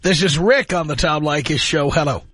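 A man hosting a talk radio show, briskly moving callers along. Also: fairly high pitch, a bit bright, breathy edge, dry recording, studio quality. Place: radio studio. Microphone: studio mic.

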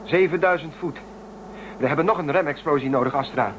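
An actor playing an anxious shuttle pilot in a science fiction radio drama, terse and commanding. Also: male voice, brisk, dull audio, lightly acted. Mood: tense. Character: anxious male shuttle pilot, gruff under pressure. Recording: noisy, rumbling craft ambience.

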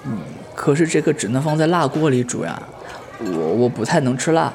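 An actor playing an excitable, naive young male idol in a comic audio drama, expressive and flustered, with music underneath. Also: medium pitch, fairly quick, a little hiss or hum. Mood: hesitant, delighted. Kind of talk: audio drama acting, comedic.

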